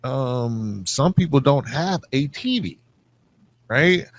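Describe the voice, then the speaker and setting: A man's voice: mid-range voice, lively intonation, takes it slowly. Casual American man hosting a podcast. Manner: informal, colloquial. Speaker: podcast host. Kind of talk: casual conversational interviewing.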